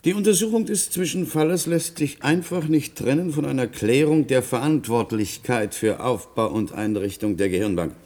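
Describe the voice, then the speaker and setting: An actor playing an insistent, articulate state prosecutor in a radio play, formal and emphatic. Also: male voice, medium pitch, expressive, normal speed, few pauses, a little mellow, lightly acted. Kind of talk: German radio play acting.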